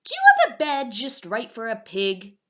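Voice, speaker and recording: A woman voicing a timid, gentle male pig in a children's storybook, speaking in simple, expressive phrases. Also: on the high side, moderate pace. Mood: eager. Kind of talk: children's storybook read-aloud.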